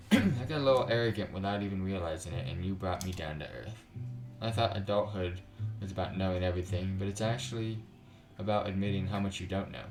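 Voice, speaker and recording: Guy doing a blunt but caring roommate gal, visual novel, deep casual voice, soft music behind. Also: a little fast, echoey room. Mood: grateful, sincere. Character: blunt, warm-hearted older roommate woman, Rose. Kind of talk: visual novel character read aloud. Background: soft background music.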